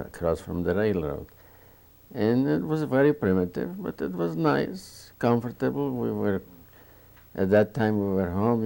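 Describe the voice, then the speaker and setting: An older man in his seventies, from a small town in Romania, speaks slowly in a deep, gravelly voice. He searches for words and restarts often, and his tone is dark and mellow. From Bukovina, Romania. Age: 70s. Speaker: Holocaust survivor interviewee. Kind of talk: oral history interview.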